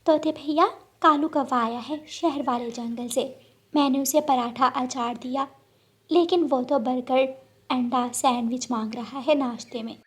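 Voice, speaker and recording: Casting: woman to play friendly village sparrow hen, cartoon, high pitch, courteous, gentle, hospitable. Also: fairly even intonation, measured pace, breathy edge. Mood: polite, earnest. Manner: polite, gently explaining. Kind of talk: children's cartoon story acting.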